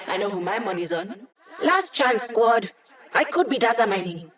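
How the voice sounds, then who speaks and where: A woman's voice: a little high, lively intonation, normal speed, natural voice. An actress voicing a cocky, playful young female hacker who guides a squad in a video game, with a teasing, breezy manner. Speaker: hacker and mission support. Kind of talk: video game character voice lines.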